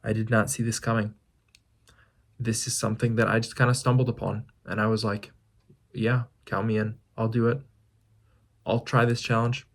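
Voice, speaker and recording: A man in his twenties talking through his thoughts in a casual vlog, musing with pauses and restarts. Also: on the low side, level tone. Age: 20s. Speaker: fitness vlogger. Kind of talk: reflective casual vlog monologue.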